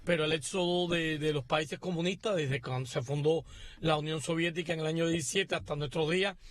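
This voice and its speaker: A man in his sixties, from Cuba, talking in a slightly high voice with a steady, deliberate delivery. The studio sound is close and dry, with no room around it. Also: dry recording. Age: 60s. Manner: measured, deliberate political commentary. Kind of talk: radio panel discussion.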